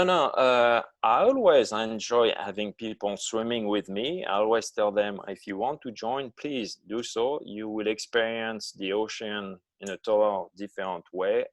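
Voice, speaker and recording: Low-voiced man with a foreign accent. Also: dry recording. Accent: foreign accent in English. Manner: halting, non-native phrasing with many uh pauses. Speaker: guest, long-distance ocean swimmer. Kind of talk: relaxed interview answers.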